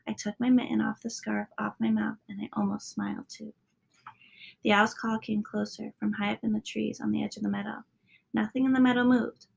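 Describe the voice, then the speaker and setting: A woman reading a children's story aloud as a narrator, sometimes dropping into a hushed tone at the ends of lines. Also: medium pitch, creaky, breathy edge, low-quality recording. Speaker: storybook reader.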